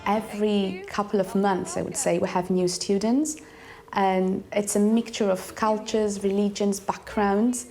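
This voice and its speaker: A woman's voice: medium pitch, fairly even intonation, unhurried, mellow, faint music behind. A woman, explaining her work as a teacher in an interview.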